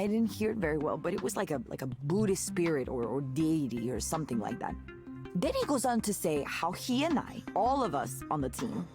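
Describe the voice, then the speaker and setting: A jaded, outspoken woman in a visual novel, talking like a friend dishing on a bad boss. Her voice sits low but moves around a lot, sardonic and naturally conversational. Music plays behind her. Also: creaky. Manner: conversational, sardonic, expressive with disgusted asides. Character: candid, jaded woman ex-tech worker telling a wry story.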